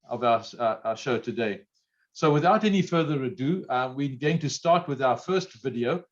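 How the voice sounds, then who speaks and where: A man with a big voice serves as panel moderator, introducing things in a semi-formal manner. His pitch is average for a man, and the sound is dull and lo-fi, as on an online call.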